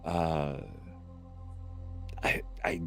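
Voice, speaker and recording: A man in his fifties hosting a casual stream chat, slow and reflective, full of fillers and restarts. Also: a bass voice, level tone, fairly bright tone, soft background music. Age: 50s. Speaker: stream host.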